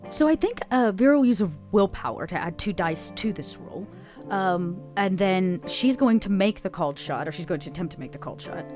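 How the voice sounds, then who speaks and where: A woman's voice: mid-range voice, lively intonation, fairly quick, a little mellow, soft background music. A woman player in a tabletop roleplaying game, casually and playfully describing what her character does. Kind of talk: casual improvised roleplay chat.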